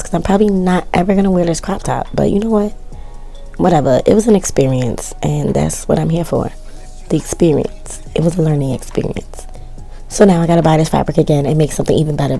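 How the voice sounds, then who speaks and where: A woman narrating her sewing project in a casual, chatty voice-over, her voice worn and cracking from yelling, with self-mocking, shrugging asides. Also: sits low, quick pace, gravelly, faint music behind. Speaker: sewing vlogger.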